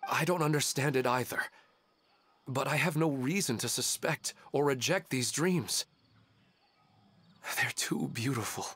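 An actor playing a gentle, wistful husband and father in a video game, speaking earnestly and reflectively. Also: mid-range voice, measured pace, pauses often, a little hiss or hum, close to an everyday voice.

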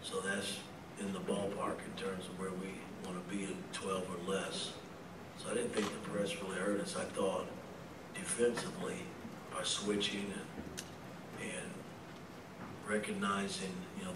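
A middle-aged man with a low, flat voice gives blunt postgame answers as a basketball coach. He speaks into a table microphone in a press room, heard a little from afar with roomy echo and loud background noise. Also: far from the mic. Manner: blunt and measured, frequent "I mean" restarts. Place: press room with sponsor backdrop.

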